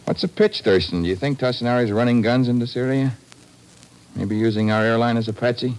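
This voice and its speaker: An actor playing an earnest, defensive wounded airline man in a 1950s radio drama, halting yet emphatic. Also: mid-range voice, a little mellow, smooth, natural voice, poor audio. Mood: insistent.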